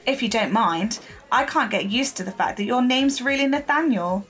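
An actress playing a persistent, shrewd newspaper reporter in an audio drama, speaking confidently and articulately. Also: female voice, a little high, expressive, normal speed, a bit bright, slightly rough, faint music behind. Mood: breezy, pleased.

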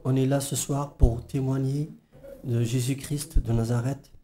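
A man's voice: medium pitch, little pitch movement, unhurried, warm tone. A man from Algeria introducing his family to give a religious testimony.

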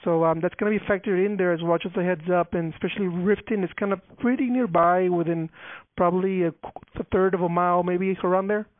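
A man's voice: sits high, fairly even intonation, a little fast, no room sound. A man from a transportation office explaining the process over the phone, with many fillers and restarts. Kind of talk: phone call. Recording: tinny phone line, dry.

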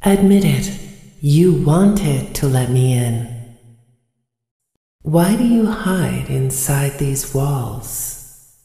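Very low, echoing machine ballerina. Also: female voice, animated, drawn-out words, pauses often, dark tone, full character voice. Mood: coaxing. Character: elegant female animatronic, coaxing and eerily calm. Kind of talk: horror game villain voice lines. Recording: echoing hall with faint music.

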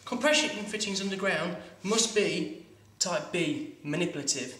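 Guy teaching plumbing in a workshop, highish voice, bit far from the mic, echoey room. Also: lively intonation, moderate pace, pushed and bright. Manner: practical, instructive, stumbling restarts. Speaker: plumbing instructor. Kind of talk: hands-on demonstration lesson.

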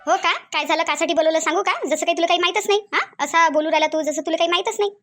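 A woman plays a sharp-tongued cartoon mother-in-law from a village, scolding in a very high, shrill voice with a Varhadi Marathi accent. She rattles off her complaints at a breakneck pace and never lets up. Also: soft background music. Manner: nagging, loud, rapid scolding. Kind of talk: cartoon voice acting.

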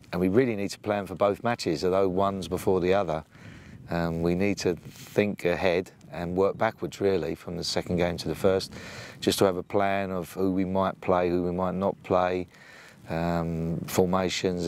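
A booming, gravelly English man in his sixties, speaking as an assistant football coach in an outdoor interview. His voice sits low, and he talks plainly, with frequent ums and restarts. He is recorded by an off-camera mic beside a training pitch, with faint noise behind him. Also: a little mellow. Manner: plain-spoken, hesitant with many ums and restarts. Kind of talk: sit-down interview. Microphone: off-camera mic, no lapel.

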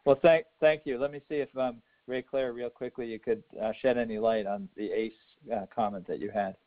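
A man hosting a conference call over the phone, explaining things in a halting way and often repeating words. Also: mid-range voice, fairly even intonation. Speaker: host of a national activist conference call. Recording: muffled, narrow telephone conference line.

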